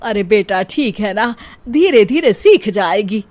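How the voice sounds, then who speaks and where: A woman's voice: mid-range voice, lively intonation, measured pace, darkish tone, lightly acted. A woman voicing a proud, bossy middle-aged mother-in-law in a cartoon story, scolding and boasting.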